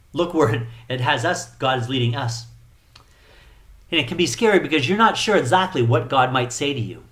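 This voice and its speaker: A middle-aged man speaking as a missionary to a congregation, earnest and measured, appealing to listeners with rhetorical questions. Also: mid-range voice. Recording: echoey room.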